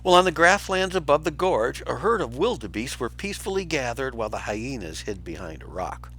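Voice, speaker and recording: A man reads a children's story to young listeners with theatrical expression. His medium-pitched voice is bright and rises and falls dramatically. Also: very animated, brisk, husky. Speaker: storybook reader for schoolchildren.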